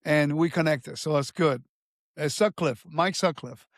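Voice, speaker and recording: A man's voice: a little high, measured pace, no room sound, clean studio sound. A man in his fifties from Puerto Rico, a podcast guest earnestly telling his life story with many restarts and fillers.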